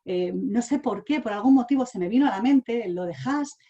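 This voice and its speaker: A woman's voice: a little high, expressive, moderate pace, mellow, dull audio. Hesitant woman in her forties. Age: 40s. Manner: hesitant, many fillers and restarts. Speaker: speaker. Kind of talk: online talk sharing personal experience. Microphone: online talk mic.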